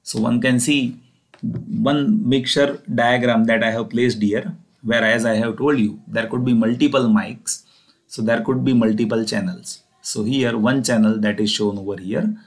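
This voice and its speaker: A man's voice: medium pitch, fairly even intonation, measured pace. Indian-accented man giving a technical lecture. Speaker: engineering lecturer. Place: voice-over recorded over slides.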